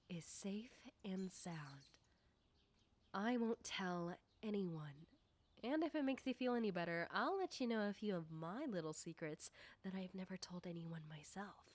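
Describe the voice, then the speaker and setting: A woman playing a nosy, teasing, self-assured popular high school girl in a soft ASMR roleplay, coy and deliberate with whispery dips. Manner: coy, probing, deliberate, often dropping into whispers. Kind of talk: ASMR roleplay, soft personal-attention talk.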